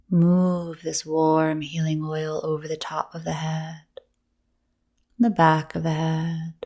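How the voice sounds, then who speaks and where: Very slow, soft woman guiding meditation. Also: low-pitched, creaky. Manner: soothing, gentle, measured delivery with long pauses between phrases. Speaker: yoga nidra teacher. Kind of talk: guided meditation.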